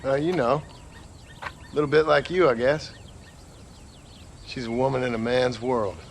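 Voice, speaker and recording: An actor playing a plainspoken, laconic reformed gunslinger and husband with an American frontier drawl, in a video game. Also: male voice, medium pitch, measured pace, creaky, a little hiss or hum. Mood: wry, defensive. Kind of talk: video game dramatic dialogue.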